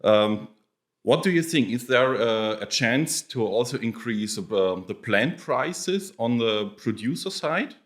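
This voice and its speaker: A man interviewing a guest, asking questions with many fillers and pauses. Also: mid-range voice, animated. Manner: hesitant, many filler sounds. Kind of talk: conversational interview.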